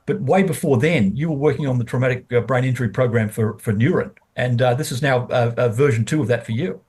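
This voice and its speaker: Husky male interviewer. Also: mid-range voice, fast. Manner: lively, talks over the guest. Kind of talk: interview questions.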